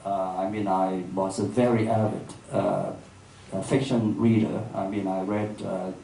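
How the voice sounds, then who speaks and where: Low-voiced man, reflective, distant and echoey. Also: level tone, vocal fry, warm tone. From Hong Kong. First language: Cantonese. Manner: reflective, halting, searching for words. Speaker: filmmaker guest in a public dialogue. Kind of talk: reflective public conversation. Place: event room. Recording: echoey room, distant mic, faint noise.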